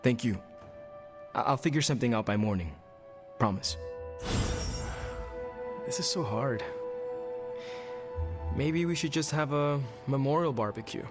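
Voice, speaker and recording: An actor playing an earnest, conflicted young superhero who hesitates and searches for words in a game cutscene. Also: male voice, medium pitch, a little slow, pauses often, over music, close to an everyday voice. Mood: troubled, unsure. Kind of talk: video game cutscene acting.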